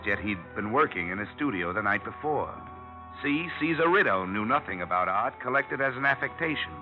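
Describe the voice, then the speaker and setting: A man's voice: medium pitch, natural voice. A man playing a wry, world-weary private investigator in a 1950s radio detective drama, with a dry, clipped delivery. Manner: dry, clipped, hard-boiled. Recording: muffled old radio recording, music behind.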